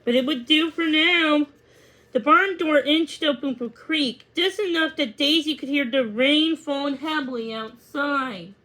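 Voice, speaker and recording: Children's read-aloud: woman narrator with a high-pitched voice and expressive storytelling delivery. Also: a little slow, low-quality recording. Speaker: storybook reader.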